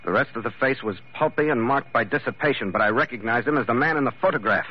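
An actor playing a tough, world-weary ship's skipper in a hardboiled radio drama, terse and sardonic. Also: male voice, medium pitch, quick pace, husky, lightly acted. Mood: groggy narrating. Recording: muffled, noisy old radio recording.

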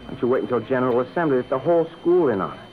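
An actor playing a defiant teenage suspect, answering with resentful, sarcastic backtalk in an old radio crime drama. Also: male voice, mid-range voice, expressive, rapid-fire, lightly acted. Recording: tinny old radio broadcast.

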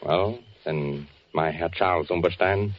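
Cautious undercover officer, deep and clipped, giving orders in a vintage radio thriller, slightly noisy recording. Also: male voice, unhurried, dull audio. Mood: businesslike, wry. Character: cautious, brisk spy handler posing as German officer. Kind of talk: 1940s radio thriller acting.

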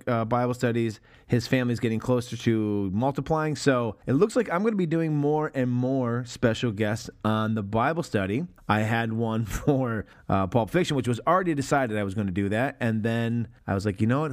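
Brief: American podcast host in his forties, mid-pitched, chatty, with a mock-sermon flair. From United States. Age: 40s. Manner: mock-preacher intros, laughs often mid-sentence. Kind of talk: casual podcast chat.

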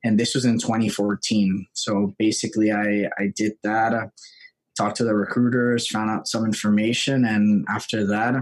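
A young man, telling his story as a podcast guest in a relaxed, chatty way. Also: lowish voice, moderate pace, rough recording. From Puerto Rico. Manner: relaxed and chatty, acts out his own past lines in quotes. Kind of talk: casual conversational storytelling.

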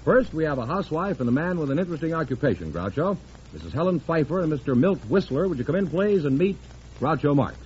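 A man announcing a 1950s radio show, reading sponsor copy and giving showy, drawn-out introductions. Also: fairly high pitch, very animated, a little hiss or hum. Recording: muffled old radio recording under loud music. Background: loud music.

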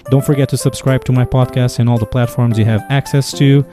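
A middle-aged man from Portugal with a Portuguese accent, hosting a food podcast with an upbeat, energetic manner. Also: medium pitch, brisk, music in the background. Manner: energetic upbeat intro, quieter when asking questions. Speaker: podcast host and chef. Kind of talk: podcast interview and ad read.

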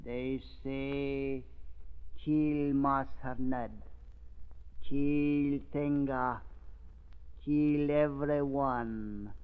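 An actor playing a loyal, mystic old native man in old-time radio drama, speaking broken English in short halting phrases. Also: mid-range voice, fairly even intonation, smooth. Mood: grave warning. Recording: tinny, band-limited vintage radio recording.

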